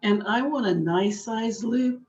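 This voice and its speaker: Woman, craft instructor, unhurried, slightly hoarse, dull lo-fi camera audio. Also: on the high side, darkish tone. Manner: patient, chatty, talks while working with her hands. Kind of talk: slow step-by-step craft demonstration.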